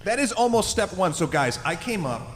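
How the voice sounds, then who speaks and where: A man's voice: sits high, sing-song, fairly quick. A man hosting a live panel discussion, talking informally and addressing the audience. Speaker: podcast host. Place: large convention room with live audience. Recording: echoey hall, clearly noisy.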